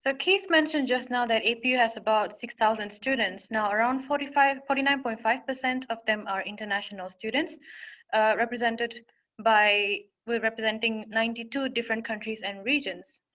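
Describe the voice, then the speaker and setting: A young woman from Singapore presents admissions information in a webinar. Her voice sits a little high. She talks in a steady, informative way with small fillers and restarts. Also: brisk, low-quality recording. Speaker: admissions counselor.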